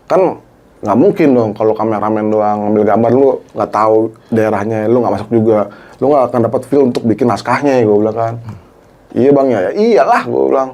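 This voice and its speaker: Animated man telling a story. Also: medium pitch, brisk. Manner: animated, acts out quoted dialogue, Jakarta slang. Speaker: guest, former TV cameraman. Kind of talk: casual storytelling chat.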